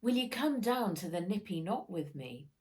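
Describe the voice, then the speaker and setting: A woman's voice: mid-range voice, sing-song, normal speed, darkish tone, lightly acted. A woman voicing a kindly old Scottish grandfather in a children's storybook, affectionate and coaxing.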